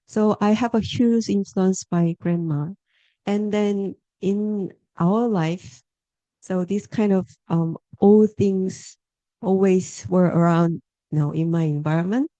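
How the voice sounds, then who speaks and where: A woman from Korea with a Korean accent, an artist giving a talk, speaking haltingly and pausing to search for words. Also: mid-range voice, mellow, no room sound, highs cut off.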